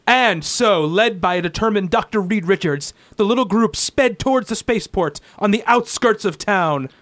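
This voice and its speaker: A man's voice: high-pitched, expressive, moderate pace, fairly bright tone, no echo, studio quality. A man narrating a comic-book radio play in a grandiose, theatrical style.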